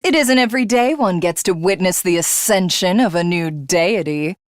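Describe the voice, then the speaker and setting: A woman's voice: on the low side, lively intonation, normal speed, no room sound, studio quality, close to an everyday voice. A woman voicing a haughty, pompous alien warlord who craves godhood, in theatrical video game villain style with formal, condescending diction. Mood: grandiose.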